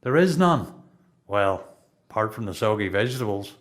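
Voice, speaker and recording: A man's voice: lowish voice, animated, normal speed, lightly acted. A man with a Belfast accent playing a seasoned prisoner in a radio play, a blunt mentor who talks in terse, instructive lines. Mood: blunt, cynical. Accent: Belfast Northern Irish.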